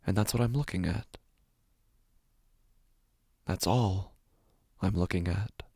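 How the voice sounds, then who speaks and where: Gravelly, haunted man, acted in horror monologue. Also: sits low, a little mellow, no echo, studio quality, exaggerated voice. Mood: dazed, uneasy. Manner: halting, repeats phrases, intense. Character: frightened, sleepless man narrating his waking nightmare. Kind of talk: horror fiction podcast, dramatic first-person monologue.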